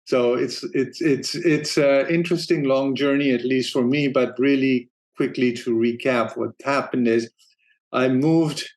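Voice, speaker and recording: A man from Denmark in his forties tells his story as an interview guest. He talks in a loose, relaxed way, restarting sentences and laughing easily. His voice sits in a middle pitch. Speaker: guest, streaming operations manager. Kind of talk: casual interview talk.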